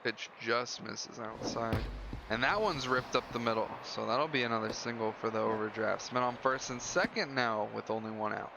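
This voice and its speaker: A man's voice: medium pitch, normal speed, gravelly. A man doing live play-by-play baseball commentary in clipped calls with casual asides. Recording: dull lo-fi audio with loud background noise.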